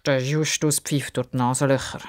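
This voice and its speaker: A woman's voice: rumbling low, little pitch movement, normal speed. A woman narrating a story for children.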